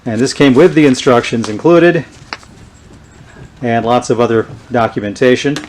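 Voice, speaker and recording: A man with a medium-pitched voice presents an informal product demonstration. He speaks without a script, in a halting and unhurried way, as someone showing items piece by piece. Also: a little mellow, slight background noise. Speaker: presenter showing vintage radio gear. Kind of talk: unscripted show-and-tell demonstration.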